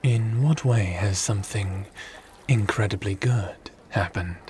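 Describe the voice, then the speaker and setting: A man playing a calm, curious, formal god-like spirit in an ASMR audio roleplay, speaking in measured, pensive phrases. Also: on the low side, slight background noise, lightly acted. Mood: concerned.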